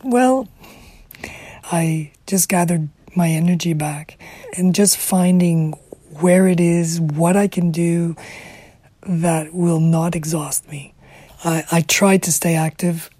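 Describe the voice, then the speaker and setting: A middle-aged woman with a damaged, whispery voice, speaking reflectively in short, halting phrases in an interview. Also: lowish voice, fairly even intonation, dark tone, no echo. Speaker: interviewee, former teacher.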